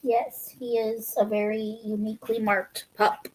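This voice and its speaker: A woman with a voice a bit higher than most chats casually on a livestream. She is unhurried and takes her words slowly. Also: little pitch movement, a little mellow, low-quality recording. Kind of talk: casual chat.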